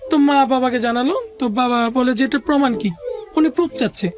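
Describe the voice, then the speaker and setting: High-voiced Bangladeshi woman telling her story. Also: fairly quick, darkish tone, faint music behind. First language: Bengali. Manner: conversational, mixes in English words. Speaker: interview guest. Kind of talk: recounting a personal life story.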